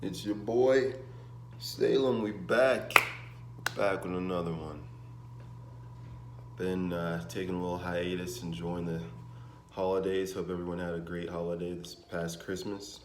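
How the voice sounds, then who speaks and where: A young man with a very deep, low voice talks loosely to camera, circling back with fillers and restarts. The room is bare and echoey, and a faint hum of ambience sits behind him. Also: unhurried, long pauses. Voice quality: naturally deep voice. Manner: relaxed, rambling, with many fillers and restarts. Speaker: vlogger. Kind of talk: casual vlog talk to camera. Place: home in Denver.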